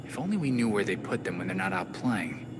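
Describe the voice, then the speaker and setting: Lowish wry game crimefighter, blunt briefing talk, noisy background. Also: male voice, big pitch swings, brisk. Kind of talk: video game briefing dialogue. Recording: clear background noise.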